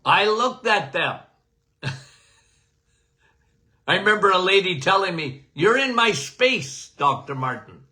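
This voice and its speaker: An older man, a doctor hosting a podcast, giving a casual and emphatic health talk, punctuated by "okay?" and self-described as loud-voiced. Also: high-pitched, slow pace, pauses often. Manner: animated, emphatic, repeats "okay?"; says he has a loud voice.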